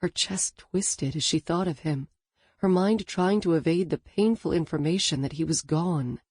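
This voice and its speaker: A woman reading a novel as an audiobook narrator in a calm, even storytelling manner. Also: lowish voice, moderate pace, husky, no room sound, clean studio sound. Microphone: studio microphone.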